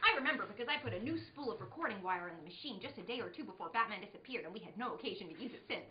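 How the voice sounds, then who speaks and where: A woman voicing an eager, naive young male superhero sidekick in a comic radio play, with an excitable gee-whiz delivery. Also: mid-range voice, racing, lightly acted. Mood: eager, surprised. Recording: roomy, dull sound with some noise.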